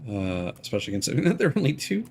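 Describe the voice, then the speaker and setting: A man's voice: medium pitch, sing-song, rapid-fire, creaky, darkish tone, faint music behind. A young man streaming a game, casually reading menu items aloud to himself.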